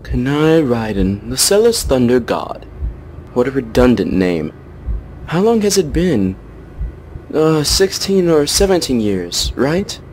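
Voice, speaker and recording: A man's voice: medium pitch, big pitch swings, takes it slowly, darkish tone, a little hiss or hum. A man playing a cocky, teasing old vampire with a sardonic, breezy manner. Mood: amused.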